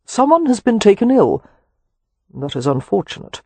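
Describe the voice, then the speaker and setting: A cold, dismissive doctor, put on by an audiobook narrator, curt and unbothered. The tone is slightly husky, with close, dry sound. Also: medium pitch, big pitch swings, moderate pace, a little mellow, no room sound, pitched above the performer's own voice. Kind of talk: audiobook character voice.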